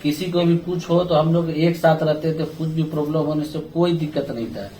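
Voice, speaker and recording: A man's voice: fairly high pitch, fairly even intonation, moderate pace, warm tone. A man giving a respectful account as a worker on a phone call, deferential and often adding "sir". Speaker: rescued tunnel worker, group spokesman. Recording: echoey room on speakerphone, clear noise.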